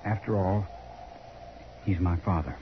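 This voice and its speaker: A man's voice: low-pitched, measured pace. A man acting an earnest, resigned young rancher's son in a 1950s radio western, speaking politely with an American accent. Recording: dull, noisy vintage radio recording.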